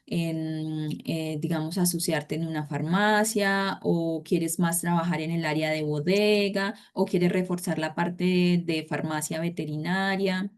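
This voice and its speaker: A woman's voice: on the low side, fairly even intonation, a little slow, few pauses, clear, resonant tone. A woman teaching an online class, talking conversationally with many fillers and tag questions. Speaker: course instructor.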